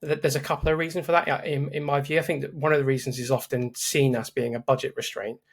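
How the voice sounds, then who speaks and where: A man's voice: mid-range voice, flat delivery, fast talker, creaky, breathy edge. A man hosting a podcast, talking casually and restarting his sentences often. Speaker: podcast host. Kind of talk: casual interview chat.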